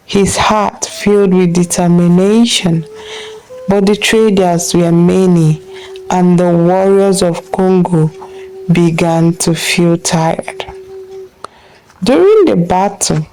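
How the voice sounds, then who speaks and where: Slow, mellow woman telling a folktale. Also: fairly low pitch, a little hiss or hum, rough recording. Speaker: storyteller narrator. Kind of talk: scripted folktale narration.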